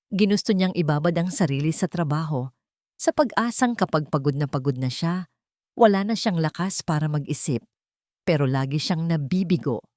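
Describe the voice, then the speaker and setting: A female storyteller delivers dramatic scripted narration. Her pitch sits a little low. The recording is close and dry, without room sound. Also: a little mellow, no room sound.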